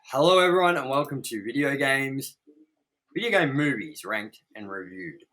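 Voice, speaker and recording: A man's voice: medium pitch, expressive, unhurried, bright, forceful tone, faint music behind. A man hosting a casual movie review chat, exasperated and prone to swearing. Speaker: host of a movie review series.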